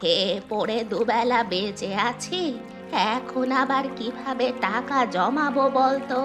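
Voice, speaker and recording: A woman's voice: fairly high pitch, measured pace, music in the background, close to an everyday voice. Woman voicing a plaintive poor old village granny. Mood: worried, weary. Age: older. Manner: plaintive, lamenting. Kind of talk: Bengali cartoon fairy-tale dubbing.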